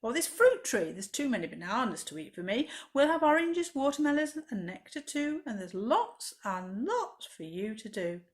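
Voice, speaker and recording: A woman's voice: a little high, very animated, moderate pace, few pauses. A woman voicing a friendly little girl in a children's storybook, coaxing and encouraging. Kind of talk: children's storybook read-aloud.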